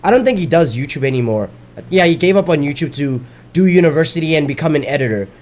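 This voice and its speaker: A man streams a game and chats at the same time, his speech a little higher than most men's. His voice is worn from daily streaming. He rambles, doubles back on his words and swears casually between moves. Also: animated, quick pace, darkish tone. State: losing his voice. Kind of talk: casual chat while gaming.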